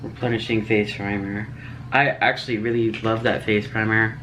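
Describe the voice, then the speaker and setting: A woman's voice: very deep voice, little pitch movement, normal speed, noisy background. A woman chatting through a beauty box unboxing, sniffling a little and reading out product names and prices. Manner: chatty, reads prices and labels. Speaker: beauty vlogger. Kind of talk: casual unboxing chat.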